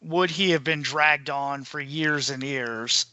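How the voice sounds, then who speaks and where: A man with a somewhat high, strikingly bright voice talks as a podcast panelist. His delivery is casual and measured, a little tentative. The sound is dry. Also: clear, resonant tone, dry recording. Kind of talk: casual book discussion.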